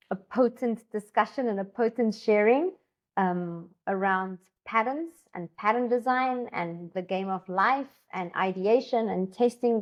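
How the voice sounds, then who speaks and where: A woman's voice: medium pitch, highs cut off. A woman from Africa, an Afrikaans speaker, talking casually and enthusiastically, rambling with many fillers and restarts. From South Africa. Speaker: co-founder and education designer. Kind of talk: casual conversation.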